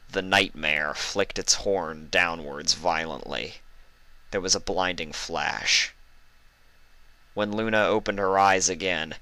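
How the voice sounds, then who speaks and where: A man with a deep voice narrates a story dramatically. His tone is bright and crisp, and the sound is dry and close, with no room on it. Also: no echo, poor audio. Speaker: fan fiction reader, narrator.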